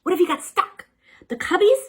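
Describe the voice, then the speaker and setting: A woman reading a children's storybook aloud as a storyteller, dramatic and expressive, with chatty asides to the listeners. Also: very high-pitched, fairly quick.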